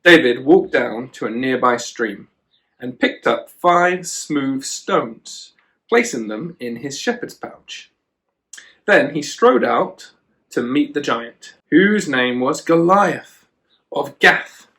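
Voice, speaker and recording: A man's voice: medium pitch, expressive, slow pace, fairly bright tone. A man reading a children's storybook aloud, with chatty asides to a child. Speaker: children and youth worker, storyteller.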